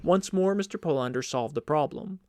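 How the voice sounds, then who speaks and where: A man's voice: fairly high pitch, expressive, moderate pace, warm tone, no room sound. A man narrating a literary audiobook from a script.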